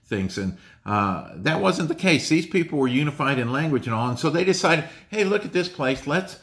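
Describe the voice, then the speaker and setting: Rambling pastor preaching a sermon. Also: male voice, fairly bright tone. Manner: rambling, repeats words and restarts, rises in pitch for emphasis. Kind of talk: conversational sermon.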